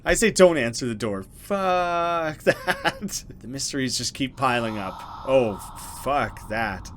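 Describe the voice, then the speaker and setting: A man's voice: mid-range voice, lively intonation, slow, a bit bright. A man hosting a gaming video, talking casually with wry asides and mild swearing as he reacts. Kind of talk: let's play reading and reacting.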